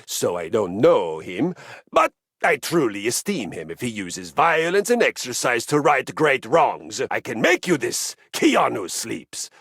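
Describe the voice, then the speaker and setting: A pompous, violence-loving exercise machine from a video game. The voice is gravelly and husky, the delivery slow and grand, swooping from hushed lows to booming highs. It sounds dry and close, recorded in a studio. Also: male voice, wildly swooping pitch, dry recording, heavily acted. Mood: earnest. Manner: theatrical, pompous, swings from low murmurs to booming shouts. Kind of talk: video game character acting.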